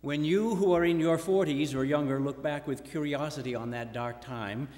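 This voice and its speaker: A man reading a written speech aloud in a formal, measured, oratorical way. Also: on the high side, a little mellow. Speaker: reader in a staged performance. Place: large indoor hall or theatre. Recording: echoey hall with long reverb.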